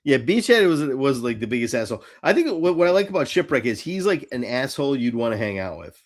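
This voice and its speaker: A man's voice: medium pitch, sing-song, fast. A man hosting a casual podcast chat, talking bluntly and with strong opinions, swearing freely. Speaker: podcast host. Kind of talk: casual chat about cartoons.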